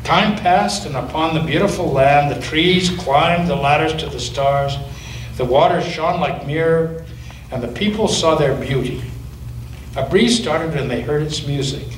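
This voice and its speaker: A man, a pastor, reading a children's story aloud in a church with expressive storytelling, recorded from a distance with a roomy echo and faint hiss. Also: on the high side, a little slow. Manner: expressive storytelling, with occasional slips.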